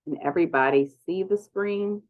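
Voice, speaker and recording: A woman with a deep voice introduces speakers on an online conference panel. She talks in a loose, chatty way, full of ums. The audio is dull and lo-fi, as if it came through a video call. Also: normal speed. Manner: relaxed, chatty, with many ums. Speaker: panel moderator.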